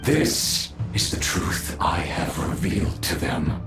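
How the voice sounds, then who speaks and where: An actor voicing an arrogant, power-hungry undead villain in a video game, speaking theatrically with condescending pauses. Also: male voice, low-pitched, big pitch swings, echoey room, over music, full character voice. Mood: smug, scornful. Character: menacing undead male villain, arrogant sinner craving power.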